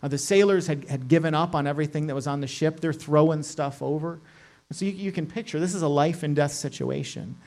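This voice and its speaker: A middle-aged man preaching in a loose, chatty way, checking in with his listeners as he goes. His voice sits a little higher than most men's. He explains things as if talking with friends rather than reading out a text. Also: brisk, a little mellow. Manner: casual, lots of "like" and "right?" asides. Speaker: pastor. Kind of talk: conversational sermon.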